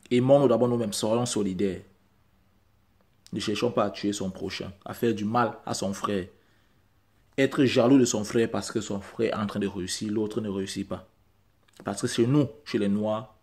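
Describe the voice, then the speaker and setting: A man's voice: lowish voice, darkish tone. A man whose first language is not French, talking straight to camera in an insistent, repetitive way and building to emphatic peaks. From Central Africa. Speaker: vlogger.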